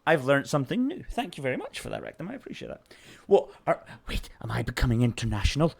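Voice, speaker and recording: A man's voice: medium pitch, huge pitch swings, quick pace, vocal fry, slightly rough. Scottish man chatting through a stream. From Scotland. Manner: chatty, reads chat aloud, coos at his puppy. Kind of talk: casual game stream chat.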